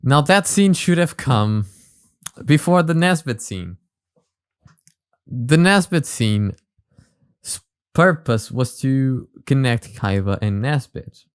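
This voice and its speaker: A man's voice: medium pitch, expressive, slow, long pauses, clear, resonant tone, no room sound, studio quality. A man hosting a reaction video with casual analytical commentary, often repeating words as he explains.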